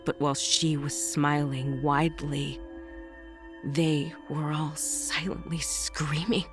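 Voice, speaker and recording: Low-voiced woman acting a haunted horror narrator. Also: little pitch movement, slow, music in the background. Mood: brooding. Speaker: audio drama narrator. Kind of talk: scripted first-person horror narration.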